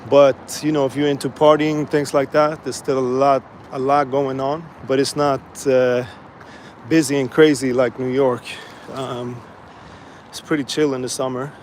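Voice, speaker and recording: A man from Sweden casually chatting to viewers while walking outdoors by the water, relaxed and rambling with many fillers. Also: mid-range voice, a little slow. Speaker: vlogger. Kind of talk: casual live-stream walking vlog. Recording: faint outdoor street noise.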